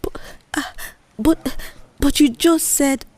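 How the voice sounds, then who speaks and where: A woman plays a nervous young Nigerian office worker in a radio-style drama. She speaks slowly and falteringly, easily alarmed and full of doubt. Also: high-pitched, a little mellow, pitched above the performer's own voice. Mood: shocked. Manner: hesitant, faltering, worried. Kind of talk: audio drama character dialogue.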